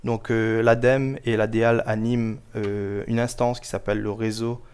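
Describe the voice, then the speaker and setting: A man giving explanatory answers as an interview guest, measured and formal, pausing often to choose his words carefully. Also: lowish voice, little pitch movement, a little mellow, clear, resonant tone. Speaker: guest, local government project officer.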